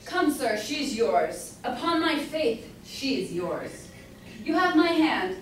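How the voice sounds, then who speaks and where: A woman's voice: high voice, very animated, slow pace, exaggerated voice. A woman playing a stern, haughty old merchant father in a staged reading of a period comedy. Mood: hearty, approving. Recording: echoey room from far off, faint noise.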